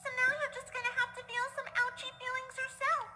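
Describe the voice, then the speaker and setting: A woman's voice: very high voice, level tone, fast talker, over music, pitched above the performer's own voice. A woman voicing a cheerful, sadistic young female cartoon pony with a sing-song, mockingly sweet delivery. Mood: cheerful menace.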